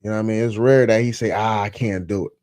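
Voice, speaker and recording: Soft-spoken man drifting off mic. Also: fairly low pitch, steady tone, fast talker, no room sound, studio quality. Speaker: podcast co-host. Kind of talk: casual chat. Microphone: off-axis studio mic.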